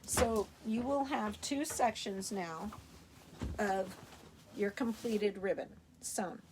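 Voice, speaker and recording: A woman teaching a live sewing tutorial in a chatty, hands-on way, her voice slightly raspy and tired as she works. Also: mid-range voice, takes it slowly, noisy background. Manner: chatty, talks while working, restarts often. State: tired, a little hoarse. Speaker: sewing instructor.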